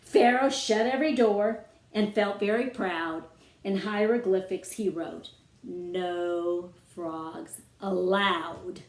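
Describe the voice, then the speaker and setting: A woman reading a rhyming children's story aloud as its author, with expressive, dramatic phrasing aimed at young listeners. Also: mid-range voice, unhurried. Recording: roomy, echoing room sound.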